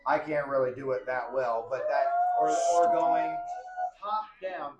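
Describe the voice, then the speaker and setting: A man with a low bass voice explaining singing registers to a class, ending his points with "right?" and demonstrating as he goes. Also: animated, a little slow. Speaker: singer demonstrating technique. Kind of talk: explaining with sung examples. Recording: roomy, dull sound with faint background noise.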